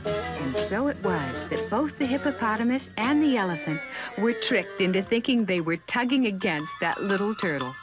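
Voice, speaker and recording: A woman's voice: mid-range voice, expressive, moderate pace. A woman narrating a scripted children's story in a dramatic storytelling manner. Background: music.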